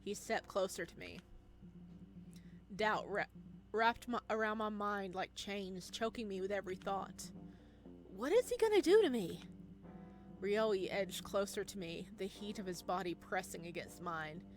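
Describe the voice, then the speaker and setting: Slow-paced, expressive woman reading aloud. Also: mid-range voice, soft background music. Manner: expressive, theatrical. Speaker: game streamer reading a visual novel aloud. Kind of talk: dramatic narration with playful reactions.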